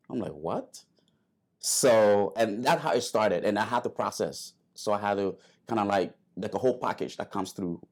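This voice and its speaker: Low-voiced man from Liberia, halting. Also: darkish tone. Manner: halting, many fillers and restarts. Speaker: interview guest, airman. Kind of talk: casual interview storytelling.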